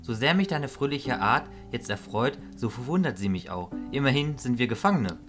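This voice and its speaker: An actor playing a gentle, earnest male illusionist in an expressive way. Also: medium pitch, moderate pace, music in the background, natural voice. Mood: fond, subdued.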